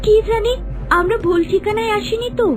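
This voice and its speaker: Extremely high-voiced young mermaid played by a woman, devoted and polite, for a fairy-tale cartoon with clear music underneath. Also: steady tone, fairly quick. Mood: worried. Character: young mermaid in human guise, devoted and pleading.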